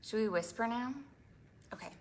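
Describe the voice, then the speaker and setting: A woman hosting an interactive storytime for preschoolers, talking in an animated, exaggerated way to small children and asking them lots of questions. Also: a little high, normal speed. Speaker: children's librarian and storytime host.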